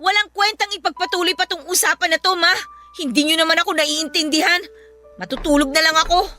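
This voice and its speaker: Young filipino daughter, high bright voice, respectful but hurt, radio drama w faint music. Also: female voice, quick pace. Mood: bitter, wounded. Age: young adult. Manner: respectful, pleading. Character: dutiful, hurt young working daughter pleading with her mother. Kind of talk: emotional radio drama acting.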